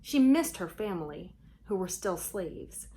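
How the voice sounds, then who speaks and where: A woman reading a children's storybook aloud expressively, pausing for conversational asides and questions to young listeners. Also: fairly low pitch, very animated, warm tone, slight background noise.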